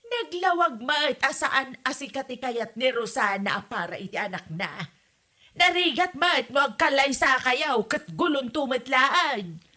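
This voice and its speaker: A woman's voice: sits high, lively intonation, unhurried, bright, forceful tone, exaggerated voice. A woman with an Ilocano accent playing a stubborn, meddling, disapproving mother in a comedy drama, scolding and exclaiming as she talks. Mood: stubborn, complaining. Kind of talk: comedy radio-style drama acting.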